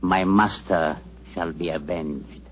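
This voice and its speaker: An actor playing a grave, loyal Indian royal physician with a solemn, formal, deliberate manner, in 1940s radio drama style. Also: male voice, deep voice, fairly even intonation. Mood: coldly vengeful. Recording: dull 1940s radio broadcast, music behind.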